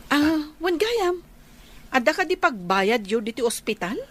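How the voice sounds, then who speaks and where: Chatty higher-pitched Filipino elder sister. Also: female voice, very animated, unhurried, a bit bright, heavily acted. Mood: helpful. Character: friendly, helpful elder sister, chatty and caring. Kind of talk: Filipino radio drama acting.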